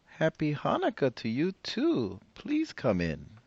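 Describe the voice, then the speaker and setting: A man's voice: on the high side, wildly swooping pitch, slow, husky, dry recording, pitched above the performer's own voice, heavily acted. Guy doing a sweet old granny, singsongy and fussy, kinda muffled. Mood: cheerful. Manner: bright, sing-song old-lady voice. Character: kindly old grandmother, cheerful and fussing.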